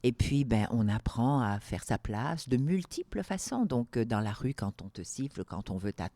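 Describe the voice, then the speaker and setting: An older woman from Morocco and Algeria, speaking as an interview guest in a calm, measured way with emphatic repetitions. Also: rumbling low, no echo. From born in Morocco, grew up in Algeria. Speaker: guest, entrepreneur. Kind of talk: reflective interview talk.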